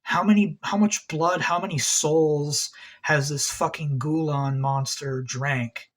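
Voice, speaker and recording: A man's voice: a little high, steady tone, slow pace. A man hosting an occult video, talking in a rambling, agitated monologue, swearing a lot and repeating himself.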